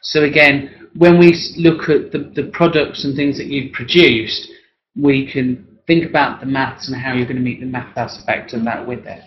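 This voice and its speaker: Male work-based assessor, hoarse and frequently clearing his throat, with a halting, conversational delivery. Dull, lo-fi recording. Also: medium pitch, fairly even intonation, a little hiss or hum. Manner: halting, hesitant delivery with tag questions. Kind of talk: one-to-one assessment planning talk.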